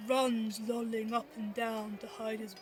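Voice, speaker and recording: A woman's voice: on the high side, little pitch movement, a little slow, soft background music, close to an everyday voice. A woman playing a witty, bawdy, teasing young Mercutio in a theatrical Shakespeare audio drama, recorded on a phone at home. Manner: theatrical, teasing, swooping between loud flourishes and mutters.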